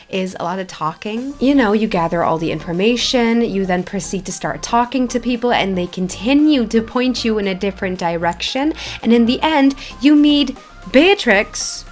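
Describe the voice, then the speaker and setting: A woman giving casual, chatty and expressive gameplay commentary as a video creator. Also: a little high. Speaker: video game YouTuber. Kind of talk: casual gameplay commentary, reading quest dialogue aloud. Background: background music.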